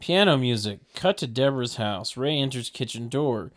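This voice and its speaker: Sardonic man narrating with lively pitch swings. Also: normal speed. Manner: sardonic, mock-announcing. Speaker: table read narrator. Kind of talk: stage directions with sarcastic comic asides.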